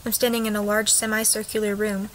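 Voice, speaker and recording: A woman's voice: mid-range voice, level tone, fast talker, a little hiss or hum, natural voice, poor audio. A woman playing a formal radio commentator reporting on location in a radio drama. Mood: formal, composed.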